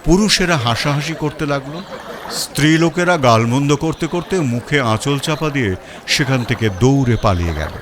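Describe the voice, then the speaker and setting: A man's voice: medium pitch, animated, moderate pace, crowd noise. A man narrating a first-person detective story in a storytelling style.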